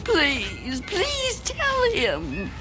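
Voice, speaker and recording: An actress playing a frightened, fragile old woman in a radio drama, tremulous, pleading and halting. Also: very high voice, wildly swooping pitch, over loud music, full character voice. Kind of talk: dramatic radio play acting.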